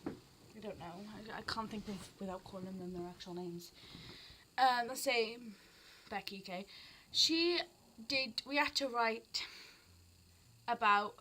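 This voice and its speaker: Teenage girl, South Wales accent with English touches, higher-pitched, bright voice, rambling casual vlog rant. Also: unhurried, pauses often, slight background noise, poor audio. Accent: South Wales, Barry, with some English sounds. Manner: rambling, expressive, jumps between thoughts. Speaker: vlogger.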